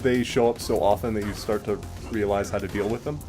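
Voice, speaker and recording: A man's voice: on the low side, steady tone, fairly quick, over music. A man giving casual, explanatory game commentary as a let's play host, swearing casually now and then.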